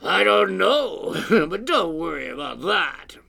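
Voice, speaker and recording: A woman voicing a small, careless male night creature in a storybook, with drawn-out, teasing exclamations. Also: on the low side, sing-song, creaky, bright, forceful tone, voice pushed up, exaggerated voice. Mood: gleeful, careless.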